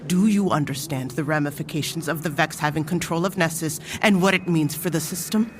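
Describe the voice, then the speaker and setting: A woman acting a stern, commanding mentor in an audio drama, speaking in a measured, reproachful way. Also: low-pitched, slight background noise, lightly acted. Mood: angry, scolding.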